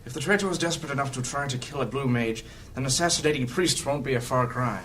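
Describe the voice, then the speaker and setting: A man playing an earnest, level-headed adventurer in a fantasy audio drama, speaking deliberately. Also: mid-range voice, fairly bright tone, recorded from a distance, lightly acted. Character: reasoning, earnest male adventurer in a fantasy party. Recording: faint background noise.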